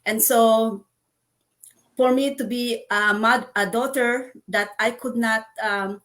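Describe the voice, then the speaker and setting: Filipino-born woman hosting a video call, slightly high voice, earnest, lots of ums. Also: steady tone, fairly bright tone. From Philippines. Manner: earnest, halting with fillers. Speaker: host. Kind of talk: casual chat.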